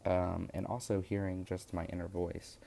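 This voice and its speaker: A young man with a very low, gravelly voice, talking in a loose, halting way as he works through his course notes. His tone stays flat and mellow, with frequent pauses and restarts, over a faint hiss of background noise. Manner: rambling, hesitant, many fillers and restarts. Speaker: witchcraft course student vlogger. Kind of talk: casual spoken vlog.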